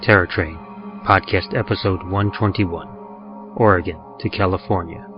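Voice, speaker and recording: A man narrating and producing a horror podcast, reading in a low, measured scripted style. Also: steady tone, dull audio, over music. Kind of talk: scripted radio drama narration.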